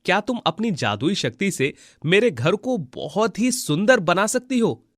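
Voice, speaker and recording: A man voicing a kind, humble young village husband in an animated moral story, polite and earnest. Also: high-pitched, measured pace, no echo, clean studio sound, exaggerated voice. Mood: beseeching.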